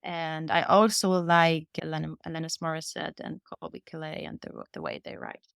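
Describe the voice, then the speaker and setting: A woman's voice: low voice, sing-song, moderate pace, vocal fry, fairly bright tone, smooth, no echo, studio quality. A woman, answering interview questions in an earnest, candid way and telling her own story. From Vienna, Austria. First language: German. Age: 20s. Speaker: podcast guest, singer-songwriter.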